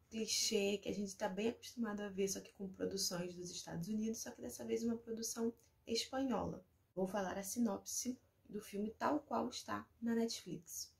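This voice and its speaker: A woman's voice: medium pitch, creaky, darkish tone. A young adult woman from Brazil hosting a casual video and recommending shows to language learners in a chatty, explanatory way. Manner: chatty, explanatory, reads synopses aloud. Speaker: Spanish teacher and video host.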